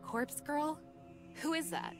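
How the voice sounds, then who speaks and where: A woman's voice: high-pitched, very animated, slow pace, pitched above the performer's own voice, heavily acted. A woman voicing a blunt, impatient young woman in a visual novel, with a curt and sarcastic manner. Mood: sceptical, demanding. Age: young adult. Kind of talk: visual novel character reading. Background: background music.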